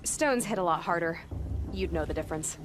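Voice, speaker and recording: A woman's voice: lowish voice, fairly quick, background noise, natural voice. Direct, inquisitive young huntress played by a woman. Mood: dry, curt. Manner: blunt, inquisitive. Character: direct, curious, self-possessed young huntress. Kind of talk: video game cinematic dialogue acting. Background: music.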